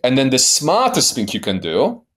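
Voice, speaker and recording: A man's voice: medium pitch, wildly swooping pitch, normal speed, a bit bright. Blunt Polish-accented man coaching emphatically. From Poland. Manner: keeps checking in with "right?". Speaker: guest life coach. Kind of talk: podcast interview.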